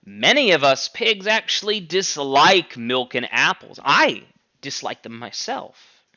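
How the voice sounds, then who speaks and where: A man's voice: a little high, big pitch swings, bright, forceful tone, dry recording, lo-fi sound, exaggerated voice. Man reading as a persuasive, pleading pig orator. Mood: earnest, self-justifying. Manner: oratorical, measured, imploring. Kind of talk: storybook character reading.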